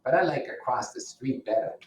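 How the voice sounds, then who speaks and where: A man's voice: medium pitch, expressive, a little fast, voice pushed up, lightly acted. A man voicing a brash older gangster, earnest and boastful, from a novel read aloud. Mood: earnest, wistful. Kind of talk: audiobook-style character reading. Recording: roomy echo, distant microphone.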